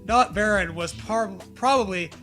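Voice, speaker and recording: High, bright-voiced man riffing through a read-aloud. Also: moderate pace, faint music behind. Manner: jokey, sweary, breaks off to riff. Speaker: co-host reading a horror story aloud for comedy.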